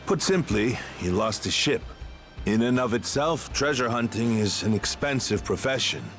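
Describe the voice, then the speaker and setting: A man's voice: mid-range voice, expressive, normal speed, a bit bright, faint music behind, lightly acted. An actor playing an earnest, loyal veteran pirate crewman in a video game, explaining things like a storyteller. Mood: explanatory.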